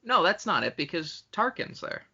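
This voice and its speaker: A man's voice: high voice, big pitch swings, normal speed, gravelly, bright, forceful tone, slightly rough. A man co-hosting a podcast, casually debating a movie and reasoning out his argument aloud. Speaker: podcast co-host. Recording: dull lo-fi podcast audio.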